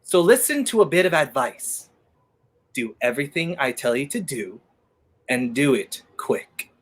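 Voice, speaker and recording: A man's voice: mid-range voice, big pitch swings, measured pace. A man playing a brash, sardonic, commanding fugitive in a 1930s radio play. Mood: sardonic menace. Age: young adult. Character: brash wrongly accused man on the run, sardonic, urgent.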